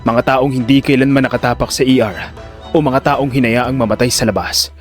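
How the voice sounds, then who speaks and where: A man's voice: medium pitch, normal speed, faint music behind, close to an everyday voice. A man playing a composed, defiant neurosurgeon in an audio drama, sardonic and accusing. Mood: cold accusation. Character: cool, defiant male neurosurgeon out for revenge. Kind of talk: Filipino audio drama acting.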